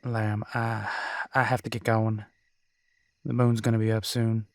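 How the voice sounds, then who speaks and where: A man's voice: lowish voice, little pitch movement, unhurried, no room sound, clean studio sound. A man playing an easygoing, protective, brotherly werewolf in a casual roleplay audio drama. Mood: earnest, protective. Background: crickets at night.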